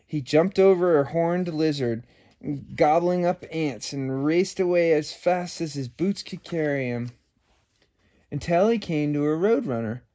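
A man's voice: fairly high pitch, unhurried, mellow. A man hosting children's story time, reading a picture book expressively with casual asides.

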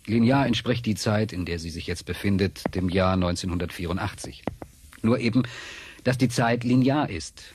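A man's voice: fairly low pitch, normal speed, close to an everyday voice. A man playing a matter-of-fact scientist in a radio drama, explaining in a precise, didactic way. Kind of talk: German radio drama acting. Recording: clear background noise.

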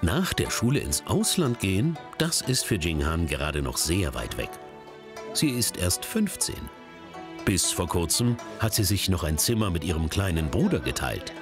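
A male narrator reads a documentary script in a rather low voice. His pitch rises and falls in a very animated way, and clear music plays beneath his voice. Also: moderate pace. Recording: narration over background music. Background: background music.

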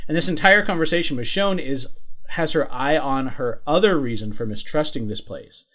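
A man's voice: on the high side, animated, normal speed, fairly bright tone. A man co-hosting a podcast, giving casual commentary on a TV episode with dry asides. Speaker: podcast co-host. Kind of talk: casual recap chat about a TV episode.

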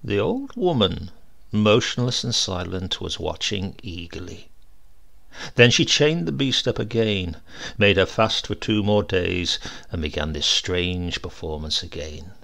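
A man reading a classic short story aloud in a measured, dramatic storytelling manner. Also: deep voice, expressive, vocal fry, fairly bright tone. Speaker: narrator.

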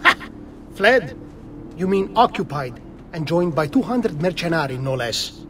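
A man's voice: fairly high pitch, sing-song, measured pace, recorded from a distance, background noise. An actor playing a blunt, scornful old Venetian statesman in a video game.

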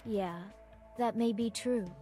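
A woman acts out a tough young woman from a video game in a fairly high voice. She is blunt and matter-of-fact, with faint music behind her. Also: slow, warm tone. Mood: stoic, flat. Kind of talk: reading game dialogue aloud.

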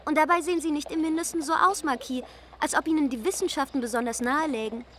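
Pert, high-voiced young lady. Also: fast, a little hiss or hum. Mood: mocking. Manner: teasing, sharp-tongued. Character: pert, prickly young upper-class daughter. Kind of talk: radio play acting.

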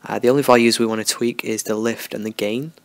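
A man talking through a software tutorial in a relaxed, unscripted way, thinking aloud as he works. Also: lowish voice, fast talker, creaky, no echo. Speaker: tutorial host. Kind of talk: screen-recorded software tutorial.

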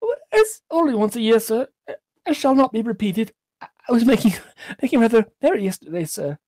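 Man as a meek, high-voiced stammering clerk. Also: very animated, fairly quick, a little mellow, no room sound. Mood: nervous, apologetic. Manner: stammering, high-pitched and timid. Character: meek, apologetic male clerk, nervous and deferential.

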